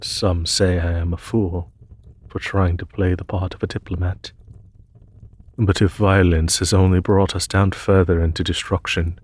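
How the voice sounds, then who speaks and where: Man voicing a deep, solemn, noble demon emperor. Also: level tone, darkish tone, breathy edge. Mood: solemn, resolute. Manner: formal, measured, solemn. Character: noble, weary, pacifist male demon emperor. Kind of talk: fantasy audio roleplay, spoken softly to one listener.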